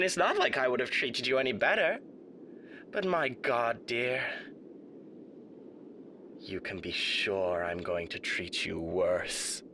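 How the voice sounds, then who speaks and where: A man's voice: medium pitch, bright, forceful tone, slight background noise, full character voice. A man voicing a suave, menacing radio demon in a theatrical roleplay monologue, mock-polite, pompous and taunting. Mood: gloating threat.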